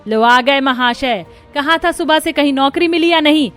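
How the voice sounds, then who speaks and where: A woman's voice: high-pitched, normal speed, a bit bright, faint music behind. A woman voicing a bitter middle-aged mother-in-law in a cartoon story, scolding and complaining with sarcasm. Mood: sarcastic, nagging. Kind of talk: Hindi cartoon story dubbing.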